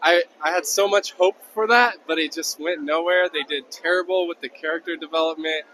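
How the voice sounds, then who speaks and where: A man with a slightly high voice answers interview questions at a convention, speaking articulately and with firm opinions. Faint hall ambience sits behind him. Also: fairly even intonation, a little fast, bright, forceful tone, slight background noise. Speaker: convention attendee being interviewed.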